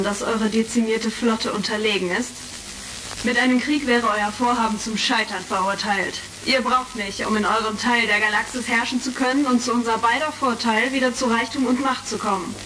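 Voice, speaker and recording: A haughty, power-hungry senator, speaks with formal condescension in a retro space drama. Her voice is on the high side, slightly distant on a crackly vintage record. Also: fairly even intonation, moderate pace, bright, forceful tone. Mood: condescending. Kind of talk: retro sci-fi radio play acting.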